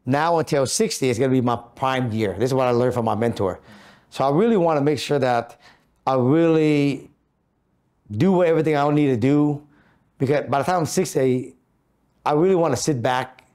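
A man's voice: medium pitch, moderate pace, a little mellow. A man in his fifties talking about goal setting on a podcast in an office meeting room, in an earnest coaching manner full of rhetorical questions. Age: 50s. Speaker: podcast co-host. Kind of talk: motivational podcast talk.

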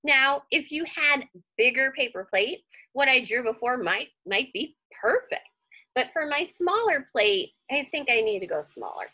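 A woman's voice: high-pitched, lively intonation, moderate pace, pushed and bright. A woman giving a casual step-by-step craft tutorial for children, chatting and thinking aloud in a reassuring way. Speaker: craft teacher. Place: indoor room at home.

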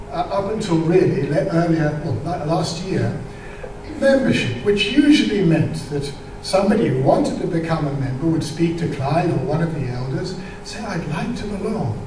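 A man gives an informal, explanatory church talk, pausing and hesitating as he goes. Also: fairly high pitch. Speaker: church speaker explaining membership. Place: large church hall with audience, indoors. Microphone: microphone on a stand. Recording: echoey hall, distant mic, noisy.

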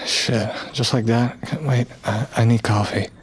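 A man's voice: medium pitch, fairly even intonation, a little slow, slight background noise, natural voice. An actor playing a weary, skeptical man with a curt, sardonic way of talking in an audio drama. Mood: irritable. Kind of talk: naturalistic audio drama acting.

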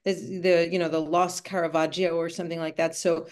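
A woman's voice: fairly low pitch, little pitch movement, fairly quick, darkish tone, clean tone. A woman in her sixties, born in Cuba, telling her story at length as an author in a video call interview, thoughtful and full of asides. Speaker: author and art conservator. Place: home room with bookshelves.

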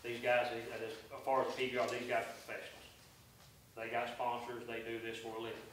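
Man, bull-riding event organizer, folksy, rambling; flat, echoey meeting-room sound from a distance. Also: medium pitch, a little fast, slight background noise. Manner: folksy, rambling with many fillers. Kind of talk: public comment at council meeting. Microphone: far room camera.

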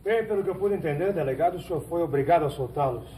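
Casting: man as insistent Brazilian hero of the backlands, quick, highish, mellow; vintage TV drama. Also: lightly acted. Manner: insistent, direct. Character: earnest, sharp-minded hero of the backlands. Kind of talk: 1970s telenovela acting. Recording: noisy old 1970s TV soundtrack.

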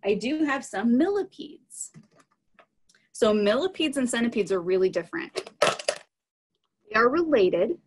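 A woman's voice: fairly high pitch, expressive, unhurried, long pauses, a little hiss or hum. A woman in her thirties giving an informal live science lesson, explaining in plain, simple words from a small room over a video call. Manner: explanatory, plain-spoken, addressing children simply. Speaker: science educator presenting live animals. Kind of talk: informal live educational talk with audience questions. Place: small room at home, indoors. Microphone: computer mic on a video call.

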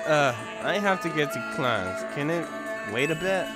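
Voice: mid-range voice, lively intonation, measured pace, loud music behind the voice, voice pushed down, close to an everyday voice. A let's player voicing a meek, hesitant schoolboy hero of a visual novel, flustered and apologetic.

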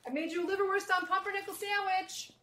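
Actress doing smothering fussy mother, very high voice, bossy, audio drama, echoey noisy room. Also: fairly even intonation, fast talker. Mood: nagging. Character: nagging, smothering mother of a grown son. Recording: echoey room with clear background noise.

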